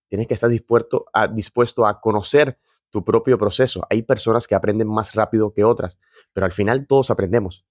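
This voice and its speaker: Earnest low-voiced man addressing camera. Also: no echo, studio quality. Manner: earnest and encouraging, addresses viewer directly. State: nervous speaking on camera. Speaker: motivational video host. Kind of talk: reflective talk to camera.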